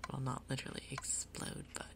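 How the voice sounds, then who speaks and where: A woman giving soft-spoken ASMR game commentary in a breathy, gentle, unhurried way. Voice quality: breathy, whispery. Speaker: gaming video host.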